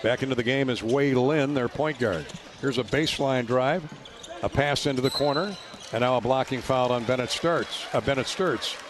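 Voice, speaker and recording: A man's voice: mid-range voice, moderate pace. A man doing live radio play-by-play of a basketball game in a folksy, colorful way. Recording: loud arena noise behind courtside radio call. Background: ball bounces and gym ambience.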